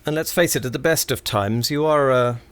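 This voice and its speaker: A man's voice: medium pitch, moderate pace, clear, resonant tone, lightly acted. A man acting a cautious, long-suffering English wireless engineer in a 1920s radio play, stiff, proper and grumbling. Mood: worried, cautious. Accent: British.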